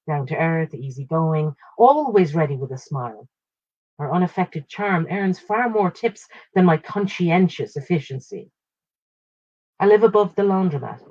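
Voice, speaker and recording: A woman reading a literary short story aloud in a measured, expressive way, in short phrases. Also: deep voice, slightly rough, no room sound, lo-fi sound.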